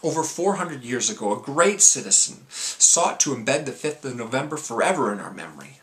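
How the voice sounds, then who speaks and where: A man playing an eloquent revolutionary who addresses the nation in a measured, deliberate theatrical film monologue. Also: medium pitch, big pitch swings, a bit bright, rough recording. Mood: solemn, rousing.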